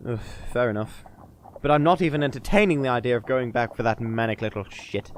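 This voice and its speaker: A man's voice: mid-range voice, fairly quick, a little hiss or hum, close to an everyday voice. A man playing a dry, sceptical, grumbling adventurer sidekick in a comedy fantasy audio drama. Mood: sceptical, irritated. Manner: dry, sardonic.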